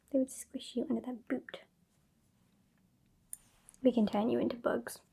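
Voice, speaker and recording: A woman playing a haughty, sassy female vampire with a British accent in a whispered ASMR roleplay, condescending and exasperated. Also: a little high. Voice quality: whispery, breathy. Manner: condescending, exasperated, softly scolding. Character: sassy, haughty old female vampire scolding her fledgling.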